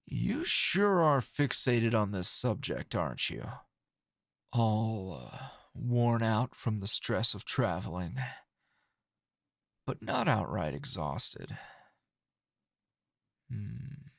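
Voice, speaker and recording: Man acting a slow, gravelly, teasing weary teacher. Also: on the low side, big pitch swings, fairly bright tone, dry recording, clean studio sound, heavily acted. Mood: teasing, soothing. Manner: languid, drawling, with sighs and pauses. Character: weary, low-voiced adult male teacher, teasing, flirtatious and dry. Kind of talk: intimate ASMR roleplay acting.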